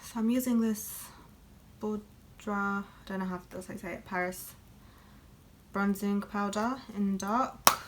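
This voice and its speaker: A woman's voice: medium pitch, fairly even intonation, measured pace, pauses often, slight background noise. A young woman, chatting candidly while doing her makeup for a beauty vlog. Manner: chatty and candid, lots of fillers. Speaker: beauty vlogger. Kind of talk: casual get-ready-with-me chat.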